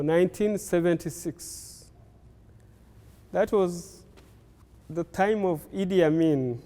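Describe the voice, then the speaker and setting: Older guy from rwanda, native kinyarwanda speaker, talks slow with lots of pauses, mellow smooth voice, echoey hall. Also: fairly high pitch. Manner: unhurried, pausing often with fillers. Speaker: interviewee. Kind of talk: slow life-story recollection.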